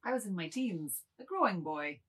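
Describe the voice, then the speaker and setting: A woman plays a middle-aged man with a low, husky voice in a dramatic novel reading. He is apologetic and wistful, justifying himself in broken, musing phrases. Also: expressive, normal speed, a bit bright. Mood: wistful confessing.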